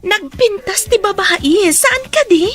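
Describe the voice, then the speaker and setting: A woman playing a chatty, excitable young woman at an event in a radio drama. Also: very high voice, measured pace, soft background music, heavily acted.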